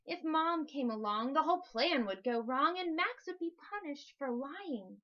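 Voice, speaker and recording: A woman reading a rhyming children's story aloud in an expressive narrating style. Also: high-pitched, moderate pace, muffled recording. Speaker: storybook reader.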